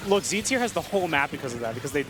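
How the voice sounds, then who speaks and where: A man rattles off quick color commentary on a live tournament match, breaking down plays as they happen. His voice sits a little high. Faint music sits under him. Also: big pitch swings. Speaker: esports commentator. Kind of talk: live esports casting. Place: large tournament venue.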